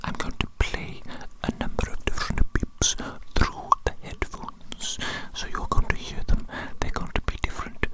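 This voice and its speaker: Female ASMR performer, fully whispered, playing a quirky doting doctor; deliberate, repetitive phrasing. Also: poor audio. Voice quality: breathy, unvoiced whisper. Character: eccentric, doting doctor fussing over a tiny patient. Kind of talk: whispered ASMR medical roleplay.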